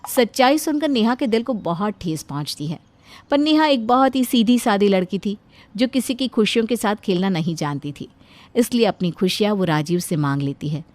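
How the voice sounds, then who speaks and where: Woman storyteller with medium-pitched voice. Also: lively intonation, normal speed, darkish tone, no echo. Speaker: narrator. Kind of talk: scripted narration of a Hindi animated moral story.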